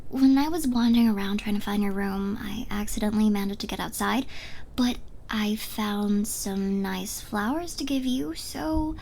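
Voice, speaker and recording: A woman's voice: mid-range voice, mellow, a little hiss or hum, natural voice. A young woman playing a drunk, giddy, smitten rival in an ASMR roleplay, rambling and stammering as she trails off. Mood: earnest, flustered.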